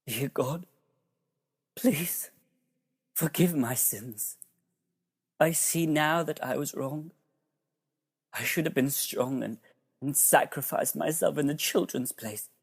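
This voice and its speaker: A man playing a repentant man of faith praying haltingly in an audio drama, with a gravelly, husky voice. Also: on the high side, heavily acted. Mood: remorseful prayer. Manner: halting, confessional.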